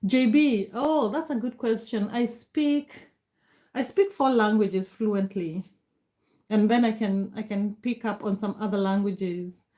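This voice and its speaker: A woman's voice: medium pitch, a little slow, dark tone. A middle-aged woman from Kenya with a Kenyan accent, a native Kikuyu speaker, chatting casually on a livestream, greeting viewers by name and thanking them. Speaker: livestream host. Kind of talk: casual live chat reading comments.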